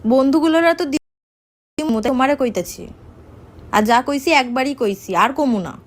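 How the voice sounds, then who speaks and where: A woman's voice: high voice, animated, moderate pace. A young woman making a teasing prank phone call, coy, pouty and insistent. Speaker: prank caller. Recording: clear background noise.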